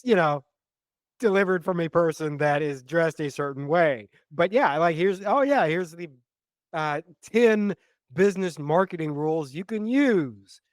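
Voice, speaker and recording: A man's voice: on the high side, dry recording, studio quality. Wry teasing man podcaster. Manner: wry, teasing, with hesitant restarts. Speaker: podcast co-host. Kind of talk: casual comedic podcast chat.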